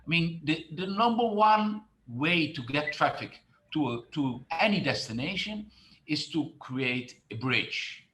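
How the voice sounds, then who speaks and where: A man's voice: a little high, expressive, measured pace, bright, forceful tone, slight background noise. A middle-aged man from the Netherlands, a tourism marketing expert who speaks with many fillers and restarts in an online presentation.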